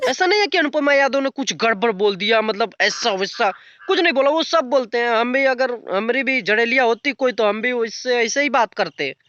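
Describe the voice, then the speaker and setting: Bright-voiced young man, blunt commentary. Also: very high-pitched, expressive, fairly quick, clear, resonant tone, no echo, lo-fi sound. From rural north India. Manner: blunt, colloquial, crude slang. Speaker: YouTube commentator. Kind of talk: unscripted casual commentary rant.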